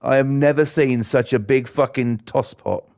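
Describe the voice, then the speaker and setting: A middle-aged man with a British accent talks to his viewers in a loose, rambling way, swearing freely as he grumbles. His voice has a gravelly rasp and sounds a little croaky, and his pitch sits at an ordinary middle level. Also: moderate pace, a little mellow. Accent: British English. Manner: sweary, ranting, chatty. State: slightly croaky. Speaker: gaming livestreamer. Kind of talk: casual chat while playing a game.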